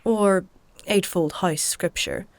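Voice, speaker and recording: An actress playing a weary, dry-witted healer in a dark fantasy audio drama, with a wry, coaxing, matter-of-fact manner. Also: female voice, medium pitch, slow pace, no room sound. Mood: reflective, dry. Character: weary, dry-witted woman healer tending a dying stranger.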